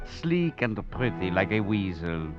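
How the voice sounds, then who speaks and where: Smooth french gentleman thief, wry and theatrical, old radio drama, muffled sound w faint music. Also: lowish voice, very animated, measured pace. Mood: relishing. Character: urbane, sardonic French master thief telling his tale. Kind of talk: 1940s radio drama acting, first-person narration.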